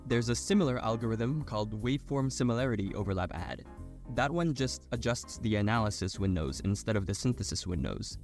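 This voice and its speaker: A man's voice: lowish voice, lively intonation, a little fast, a little mellow, soft background music. A man delivering a scripted technical explainer voice-over, addressing the listener directly as he explains. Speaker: narrator of an educational explainer video.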